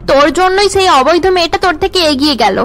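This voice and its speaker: A woman voicing an angry, nagging mother in a melodramatic cartoon, shaming her son with sharp reproach. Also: very high voice, fast talker, soft background music. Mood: scolding. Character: angry, scolding, status-obsessed mother of a failing son. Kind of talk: melodramatic Bengali cartoon dubbing.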